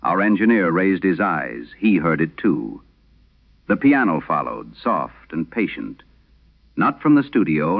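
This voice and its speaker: An actor playing a grave, uneasy host of a horror radio show, narrating in a solemn, deliberate, ominous way. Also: male voice, low-pitched, gravelly, full character voice. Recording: muffled vintage radio sound.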